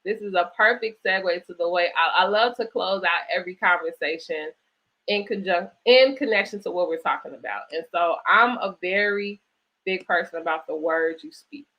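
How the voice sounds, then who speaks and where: Slightly low-voiced woman hosting, dull audio. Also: moderate pace. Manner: encouraging, affirming. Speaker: host interviewing an author. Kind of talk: conversational live online interview.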